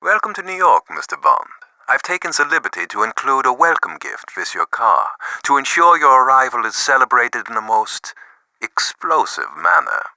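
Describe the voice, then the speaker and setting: An actor playing a gloating male game villain who delivers threats with deliberate, mock-polite courtesy. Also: medium pitch, bright, forceful tone, no echo, rough recording. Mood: mock-welcoming. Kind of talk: scripted video game villain acting.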